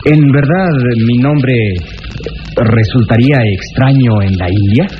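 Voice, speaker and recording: A man's voice: mid-range voice, takes it slowly, close to an everyday voice. An actor playing an eager, deferential male police officer in a 1960s radio serial, chatty and hesitant, stammering out his questions. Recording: muffled 1960s radio serial, music behind.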